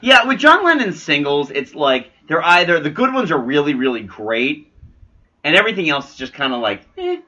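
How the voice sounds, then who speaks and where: Bright, animated male talk show host. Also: a little high, moderate pace, highs cut off. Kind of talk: casual comedic music-countdown chat.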